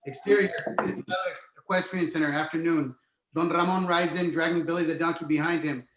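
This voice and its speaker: A man's voice: fairly high pitch, fairly even intonation, a little fast, background noise. Male script narrator, roomy echo. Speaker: narrator reading stage directions. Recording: roomy, echoing sound.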